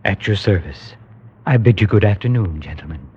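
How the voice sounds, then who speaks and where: An actor playing a formal, reserved physician in a classic radio drama, with a husky voice and clipped, courteous delivery. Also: male voice, low-pitched, animated, moderate pace, a little hiss or hum. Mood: reserved regret. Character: formal, reserved physician bearing bad news. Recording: thin, phone-like vintage radio sound.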